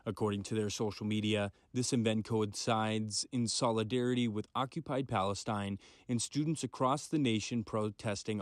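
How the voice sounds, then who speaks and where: A young man narrating a news report as a student reporter, in a steady reporting cadence. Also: lowish voice. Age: young adult.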